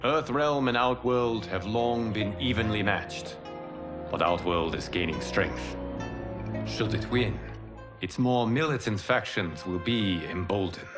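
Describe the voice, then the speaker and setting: An actor playing a solemn, commanding fire god and mentor in a video game, speaking in a formal, measured way. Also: male voice, fairly low pitch, lightly acted. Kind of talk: video game cinematic acting. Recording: loud music behind the voice.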